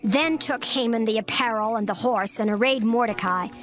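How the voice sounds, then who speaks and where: Woman reading scripture aloud, steady and solemn, slightly high voice, music right there behind her. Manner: measured, solemn storytelling. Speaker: narrator. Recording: narration over clear background music. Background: background music.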